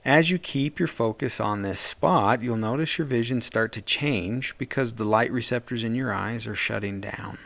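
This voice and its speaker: A man leading a guided meditation as a therapist, speaking in a gentle, soothing and reassuring way. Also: mid-range voice, vocal fry, no room sound.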